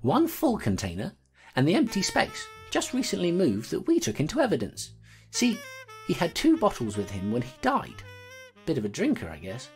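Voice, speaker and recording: A woman's voice: low-pitched, wildly swooping pitch, normal speed, darkish tone, faint music behind, exaggerated voice. A woman voicing a small clawed creature investigator, telling a grim story in clipped noir style for an audio drama. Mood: brooding, recounting. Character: small clawed creature investigator, streetwise, grimly self-deprecating narrator.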